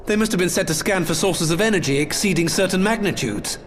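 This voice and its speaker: An actor playing a clever, gleefully confident inventor in a video game cutscene, wordy and theatrical. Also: male voice, high voice, fast, a little hiss or hum, lightly acted. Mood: assured. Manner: theatrical, wordy, self-assured.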